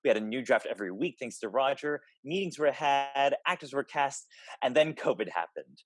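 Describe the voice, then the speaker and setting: Halting man with a dry, close sound. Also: mid-range voice, expressive, no room sound. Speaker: theater director. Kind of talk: informal spoken introduction to a show.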